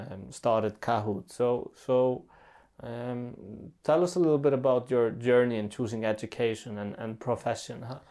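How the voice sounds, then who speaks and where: Mellow-voiced male interviewer, hesitant. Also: mid-range voice. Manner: hesitant, frequent fillers and quick agreements. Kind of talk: conversational interview.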